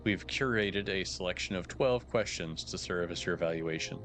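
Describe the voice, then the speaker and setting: A calm, authoritative test examiner speaks steadily, as a man reads her lines aloud in his own very low voice. Music plays beneath the slow, measured reading. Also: natural voice. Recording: background music under voice.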